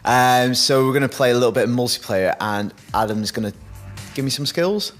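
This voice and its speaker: A man's voice: mid-range voice, fairly quick, fairly bright tone. A man hosting gameplay as a games journalist, chatting casually in a joking, self-deprecating way. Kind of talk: casual chat during gameplay. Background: faint music behind the voice.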